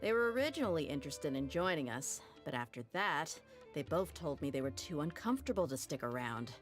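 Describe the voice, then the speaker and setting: A woman's voice: low voice, very animated, a little fast, soft background music. A woman voicing a tough, blunt mercenary leader in a game, talking brashly and teasingly. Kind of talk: game character dialogue.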